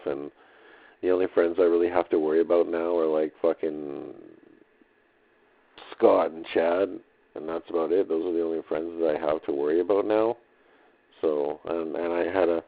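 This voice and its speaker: Male diarist, monotone and full of vocal fry, drifting through halting, casual unscripted thoughts on a muffled lo-fi recorder. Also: extremely low pitch. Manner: hesitant, repetitive, filler-heavy, loosely drifting. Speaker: audio diarist. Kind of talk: rambling unscripted audio diary.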